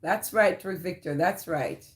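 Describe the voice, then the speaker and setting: A woman's voice: fairly low pitch, measured pace. A woman with a Jamaican accent hosting a casual livestream, greeting viewers by name and answering their comments. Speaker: livestream host. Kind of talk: casual live chat reading viewer comments.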